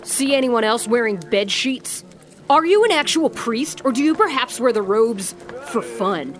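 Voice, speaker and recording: An actress playing a condescending, threatening merchant boss in a fantasy audio drama, with a dry delivery. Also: female voice, a little high, animated, unhurried. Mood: cold, condescending.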